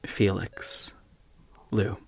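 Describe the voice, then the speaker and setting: An actor playing a grave, deliberate man recording a public statement, solemn and measured, in a scripted audio drama. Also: a little hiss or hum. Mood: solemn, troubled.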